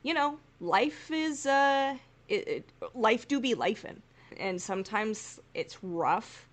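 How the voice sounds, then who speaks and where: A woman's voice: a little high, animated, slow. A woman co-hosting a podcast in casual, encouraging chat. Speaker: podcast co-host.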